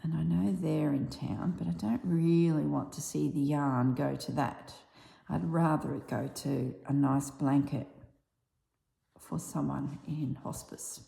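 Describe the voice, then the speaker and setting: An Australian woman with a husky, slightly strained voice chatting casually while she stitches, softly dropping toward a whisper at times. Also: sits low, steady tone, a little slow. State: voice strained from yelling. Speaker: embroidery vlogger. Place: tiled room with little on the floor. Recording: echoey tiled room.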